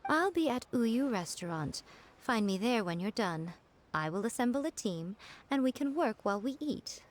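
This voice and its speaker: A woman's voice: on the high side, animated, moderate pace, a little hiss or hum, close to an everyday voice. A woman voicing a sly, self-assured fox-spirit shrine maiden in an anime game, poised, teasing and commanding. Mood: smug, commanding.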